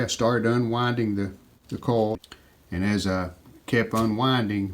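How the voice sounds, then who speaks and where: A man casually narrating his hands-on repair work step by step, plain-spoken and matter-of-fact. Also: on the low side. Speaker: restoration video host. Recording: clearly noticeable background noise.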